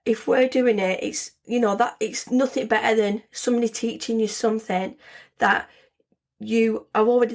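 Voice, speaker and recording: A hoarse woman, hosting her own podcast in a casual, rambling way with many restarts. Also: mid-range voice. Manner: chatty, rambling, frequent restarts. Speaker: podcast host. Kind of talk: casual solo podcast talk.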